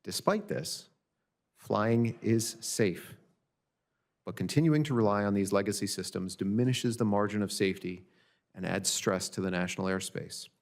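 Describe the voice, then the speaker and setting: A man reading a prepared formal statement as an expert witness at a government hearing, in a measured, matter-of-fact way. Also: fairly low pitch. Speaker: government audit director testifying as a witness. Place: legislative hearing room.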